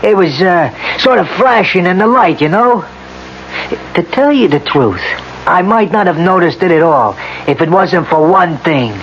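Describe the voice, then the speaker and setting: A man's voice: sits high, expressive, unhurried, fairly bright tone, exaggerated voice. An actor playing an earnest, rambling married man testifying as a witness in a radio drama. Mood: earnest, insistent. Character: chatty, earnest married male courtroom witness. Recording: muffled, noisy old radio broadcast.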